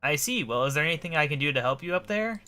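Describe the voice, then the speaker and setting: A man's voice: a little high, animated, quick pace, bright, forceful tone, soft background music, pitched above the performer's own voice. A man voicing an earnest, puzzled adventurer in a fantasy game, politely asking questions. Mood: curious. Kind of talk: reading video game dialogue aloud.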